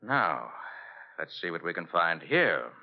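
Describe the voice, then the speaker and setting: Commanding radio detective: low voice, very animated delivery, dull vintage recording. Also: male voice, gravelly, full character voice. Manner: brisk, inquisitive, authoritative. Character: brisk, commanding male private detective. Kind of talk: 1940s radio drama acting.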